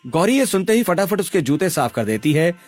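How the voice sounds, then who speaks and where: Animated, higher-pitched male storyteller. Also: brisk, faint music behind. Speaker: story narrator. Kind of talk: scripted dramatic narration.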